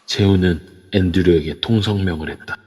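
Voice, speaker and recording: A man narrating an amateur audio drama in steady storytelling form. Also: deep voice, moderate pace, breathy edge. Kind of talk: scripted narration. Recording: reverb-heavy online audio.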